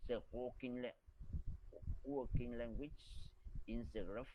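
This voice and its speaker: A man's voice: mid-range voice, fairly even intonation, slow, dull audio, background noise. A man with a Vietnamese accent, a student reading aloud and answering hesitantly in an English lesson, restarting words.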